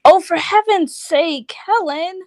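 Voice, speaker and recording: A woman playing a practical, disapproving married sister in a 1940s-style radio play, brisk, scolding and exasperated. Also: very high-pitched, a little mellow, dry recording.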